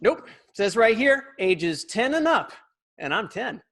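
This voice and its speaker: Woman playing a slow-talking cocky little boy. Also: on the high side, sing-song, full character voice. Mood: cocky, eager. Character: cocky, eager ten-year-old boy. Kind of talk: comedic table read over video call.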